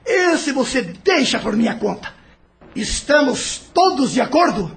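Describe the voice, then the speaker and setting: A woman's voice: high-pitched, sing-song, unhurried, vocal fry, exaggerated voice. A woman playing a scheming, vengeful spirit chief in an audio drama, commanding and mocking, with a menacing manner. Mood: commanding, sly. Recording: music under the voice.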